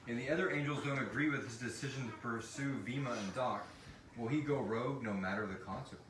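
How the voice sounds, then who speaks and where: A man's voice: medium pitch, quick pace, noisy background. A man hosting a casual live question-and-answer chat, joking and sometimes hesitant as he explains. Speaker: show creator hosting a live audience question session. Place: live stream in a room with several people. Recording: roomy, distant mic with group chatter.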